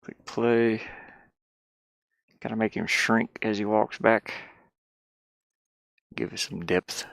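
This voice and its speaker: A man's voice: lowish voice, steady tone, slow, gravelly, pauses often, a bit bright, dry recording. A man giving casual think-aloud commentary as he works on a game, rambling and muttering to himself with repeated words. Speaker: game developer streaming his work.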